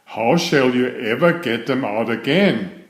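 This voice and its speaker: A man's voice: medium pitch, expressive, a little slow, reverberant, natural voice. A man voicing a practical, questioning squirrel wife in a children's storybook, matter-of-fact in manner. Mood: concerned.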